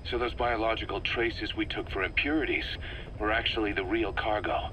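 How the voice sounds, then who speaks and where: An actor playing a blunt, skeptical, hard-nosed military officer in a video game, questioning someone on a hissy tape. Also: male voice, fairly low pitch, fairly even intonation, moderate pace, sharp tone, poor audio. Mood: skeptical, probing. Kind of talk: dramatic video game dialogue. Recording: faintly hissy cassette tape.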